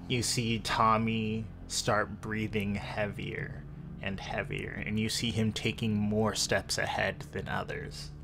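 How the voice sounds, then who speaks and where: Male game master, lowish pitch, slow deliberate narration of tabletop horror, music underneath. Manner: deliberate, descriptive second-person storytelling. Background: clear background music.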